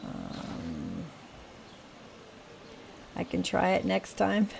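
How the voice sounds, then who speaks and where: An older woman with a scratchy voice, slowly thinking aloud as she plays a video game. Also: on the low side, gravelly. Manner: thinking aloud, slow and musing. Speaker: gaming channel host. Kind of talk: casual gameplay commentary. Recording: steady rain noise behind. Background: rain.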